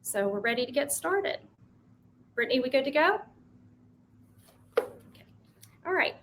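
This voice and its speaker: A woman's voice: high-pitched, sing-song, noisy background. Upbeat woman moderating a college panel. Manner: upbeat, fills pauses with um. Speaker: moderator, admissions dean.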